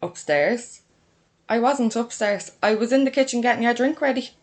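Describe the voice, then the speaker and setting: A woman narrator plays an excitable Irish sister in an audio story. She is welcoming and breezy, with a house-proud streak. Her Irish accent is casual and familiar. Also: a little high, moderate pace, pitched above the performer's own voice, close to an everyday voice. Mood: uneasy, dismissive.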